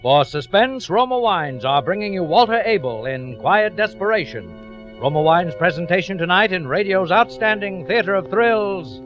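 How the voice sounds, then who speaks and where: High-pitched dramatic vintage radio announcer, male. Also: lively intonation, moderate pace. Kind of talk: dramatic 1940s radio introduction. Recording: muffled old radio recording with music.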